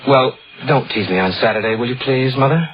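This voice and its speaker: A man's voice: mid-range voice, brisk, a little hiss or hum, natural voice. An actor playing a polite, hesitant son in his twenties in a 1950s radio drama. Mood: hesitant, protesting. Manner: polite, hesitant, gently protesting. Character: dutiful, restless twenty-five-year-old son of a smothering mother. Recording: muffled old radio recording.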